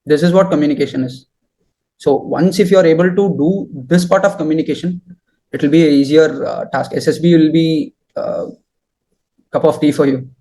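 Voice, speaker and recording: A young man answering interview questions and giving advice in halting, deliberate phrases. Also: a little high, fairly even intonation, warm tone, low-quality recording. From southern India.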